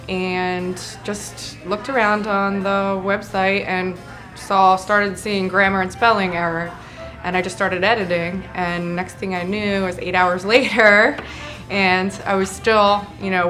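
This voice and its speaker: A woman from New Jersey, a site supervisor, answering interview questions in a chatty, measured way. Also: medium pitch, level tone, a bit bright, faint music behind.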